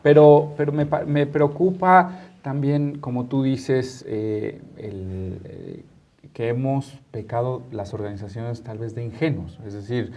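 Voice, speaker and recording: A man's voice: mid-range voice, steady tone, measured pace, dark tone. A man with a Mexican accent hosting a conversational panel interview, often rephrasing his questions as he goes. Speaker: interviewer, talk show host.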